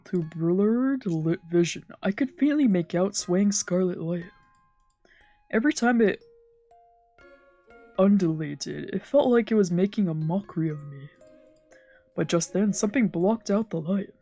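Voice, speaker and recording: Woman, slightly low voice, expressive visual novel narration, dramatic storytelling, background music. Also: unhurried, long pauses. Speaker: streamer reading a visual novel aloud. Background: game background music.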